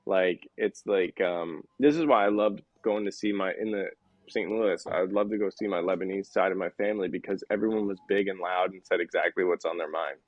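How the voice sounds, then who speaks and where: A man's voice: sits low, fairly quick, no echo, lo-fi sound. A man from the Midwest, a podcast guest, telling rambling, easygoing stories about his childhood in casual chat. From American Midwest.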